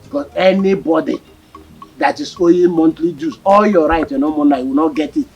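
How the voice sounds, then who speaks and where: An actor playing a calm middle-aged village meeting secretary. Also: male voice, a little high, moderate pace, breathy edge, soft background music, poor audio.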